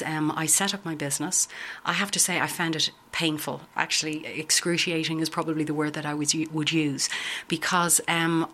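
A middle-aged Irish woman with an Irish accent, answering interview questions in a thoughtful, measured way with frequent pauses. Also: low voice, a bit bright. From Ireland. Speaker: guest, business consultant. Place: office building in a city.

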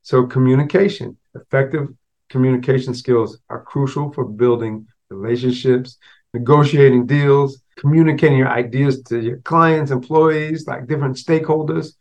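A man's voice: mid-range voice, fairly even intonation, normal speed, a little mellow, muffled recording. Man with a slight stutter, casual podcast. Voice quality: slight stutter with frequent restarts. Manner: conversational, street slang mixed with business terms. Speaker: podcast host. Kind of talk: casual solo podcast talk.

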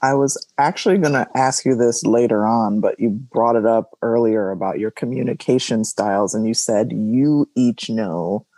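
A man in his thirties hosting a podcast, asking measured, thoughtful interview questions. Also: medium pitch, dark tone, no echo, rough recording. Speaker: podcast host.

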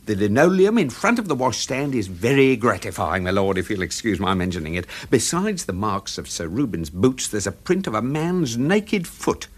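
Radio drama valet: English, formal, deferential, precise, husky tone with lively intonation. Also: male voice, fairly low pitch, moderate pace, few pauses. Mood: deferential, quietly proud. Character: deferential, meticulous gentleman's manservant, unflappable. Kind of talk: full-cast radio drama acting.

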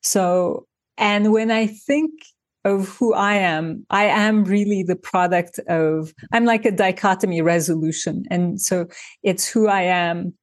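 A woman's voice: mid-range voice, a little slow, dry recording, studio quality. A woman from Turkey being interviewed, explaining her ideas thoughtfully through examples, with frequent restarts. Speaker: designer and author, interview guest. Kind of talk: reflective interview conversation.

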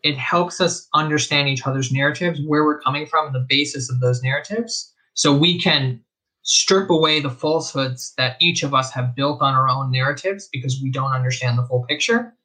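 A man's voice: medium pitch, moderate pace, sharp tone, low-quality recording. A young man from Israel hosting a livestream, talking in an earnest, reflective way with frequent fillers and restarts. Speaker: host of a live discussion stream.

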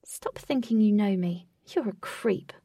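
A woman's voice: medium pitch, unhurried, warm tone, no echo, far from the mic. Woman acting a frightened, defiant heroine, dry sound. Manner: emotional, dramatic exclamations. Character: frightened, defiant young woman trapped on a ride.

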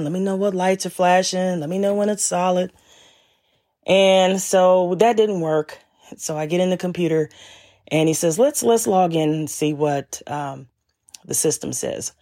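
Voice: mid-range voice, darkish tone. Laid-back, wry podcast storyteller. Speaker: podcast host. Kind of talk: casual storytelling monologue.

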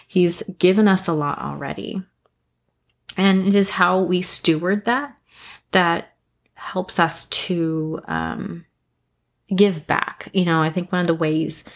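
Gravelly-voiced woman in her thirties, earnest. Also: low-pitched. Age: 30s. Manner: earnest, rambling, frequent ums and soft laughs. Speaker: faith podcast host. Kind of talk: reflective devotional talk.

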